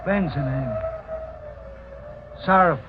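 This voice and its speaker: A man's voice: a little high, animated, slow pace. An actor playing a cynical, streetwise racket henchman with wry, slangy tough talk, in 1940s radio mystery style. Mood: wry, needling. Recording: tinny, noisy old 1940s radio recording.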